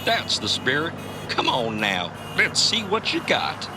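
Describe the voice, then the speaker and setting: A man's voice: a little high, wildly swooping pitch, unhurried. A man playing a cocky, folksy traveling mystery hero with breezy slang in a comedy audio drama. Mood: defiant. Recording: clear car and road noise.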